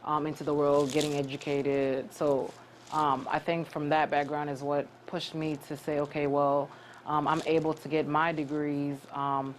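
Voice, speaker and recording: A young woman from Cameroon, a graduate student, answering interview questions earnestly with many pauses and restarts. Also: sits low, level tone, a little hiss or hum.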